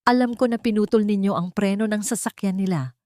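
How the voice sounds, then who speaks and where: A woman's voice: mid-range voice, fairly quick, warm tone, dry recording, pitched above the performer's own voice, lightly acted. A woman voicing a defiant, anguished young heroine with an accusing, emotional manner in a romance audio story.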